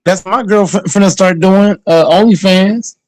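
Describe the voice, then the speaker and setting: A man's voice: sits high, moderate pace, a little mellow, rough recording. A man in his late twenties hosting a casual, crude chat, boastful and repetitive, often stammering as he restarts his sentences. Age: late 20s.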